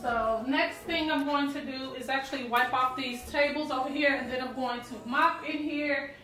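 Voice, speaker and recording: A woman's voice: high voice, fairly even intonation, normal speed, sharp tone. A woman vlogging while she cleans her living room, casually explaining her plans to the camera. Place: living room at home, indoors. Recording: echoey room, voice from far off.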